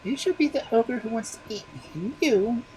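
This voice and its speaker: A storyteller doing a squeaky, bossy magician baby. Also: lively intonation, normal speed, mellow, slight background noise, natural voice, rough recording. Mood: commanding. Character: clever baby boy magician.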